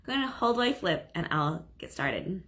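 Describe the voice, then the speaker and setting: A woman hosting a stamping craft video, chatting enthusiastically as she shows cards, her voice slightly hoarse from a lingering cough. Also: fairly low pitch, big pitch swings, a little fast, noisy background. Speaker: stamping demonstrator and channel host. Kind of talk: casual crafting video walkthrough.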